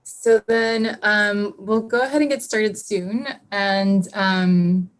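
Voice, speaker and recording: A woman yoga teacher leading a live online class over a video call, guiding a reflection softly and unhurriedly with many fillers. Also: mid-range voice, steady tone, slow pace.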